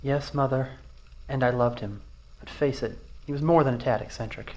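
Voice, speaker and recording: A man's voice: medium pitch, moderate pace, natural voice. A man playing a blunt, skeptical young adult son in an audio drama, wry but dutiful. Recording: faint ambient noise behind.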